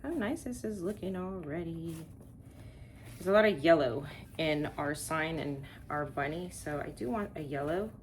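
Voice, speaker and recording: A woman's voice: low-pitched, expressive, normal speed, heavy background noise. A woman from Texas hosting a wreath-making tutorial, chatting casually and thinking aloud as she works, with rambling asides. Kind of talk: casual crafting tutorial, talking while working.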